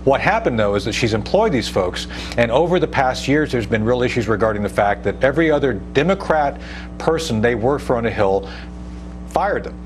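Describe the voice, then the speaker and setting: A man's voice: medium pitch, lively intonation, brisk, faint music behind, poor audio. An American man in his fifties giving emphatic, explanatory commentary as a guest in a live TV news interview. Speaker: guest commentator, retired army officer. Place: TV news studio. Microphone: lapel mic.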